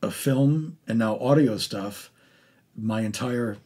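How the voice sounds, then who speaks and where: A man with a lowish, rough-edged voice hosts a relaxed interview. He speaks conversationally, circling back and repeating words. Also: slow pace. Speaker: host and theatre director-producer. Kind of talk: casual interview chat.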